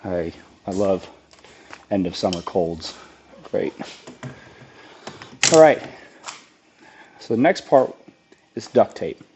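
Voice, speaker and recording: A man with a raspy voice from a cold, casually explaining a do-it-yourself build while he works on it. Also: deep voice, takes it slowly, long pauses, background noise. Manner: plain-spoken, relaxed, thinks aloud with restarts. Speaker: lawn care business owner demonstrating a DIY build. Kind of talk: casual how-to narration while working.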